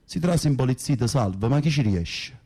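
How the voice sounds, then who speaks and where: A gruff, dark-voiced Neapolitan gangster. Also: male voice, medium pitch, expressive, moderate pace. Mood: swaggering. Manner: gruff, blunt, swaggering. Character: tough, touchy, boastful young Camorra gangster in a cell. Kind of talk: radio drama acting.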